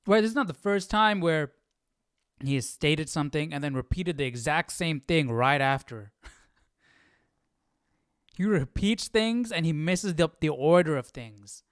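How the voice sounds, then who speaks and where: A man's voice: fairly high pitch, expressive, measured pace, pauses often, clear, resonant tone, no room sound, clean studio sound. A man hosting a livestream Bible study, commenting informally and thinking aloud as he follows along. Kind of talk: casual live commentary on a reading.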